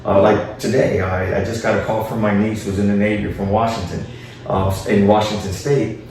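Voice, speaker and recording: A man's voice: fairly low pitch, flat delivery, normal speed, a little mellow. A man from Miami answering interview questions informally as a guest, dropping in Spanish phrases. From Miami, Florida. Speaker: interview guest, city official and former teacher. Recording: echoey room, distant mic, faint noise.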